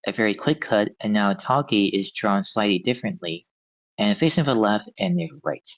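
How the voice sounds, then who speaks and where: Male stage-direction reader, lowish voice, table-read narration, dry and slightly muffled recording. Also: animated, moderate pace, no echo. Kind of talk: reading script directions aloud at a table read.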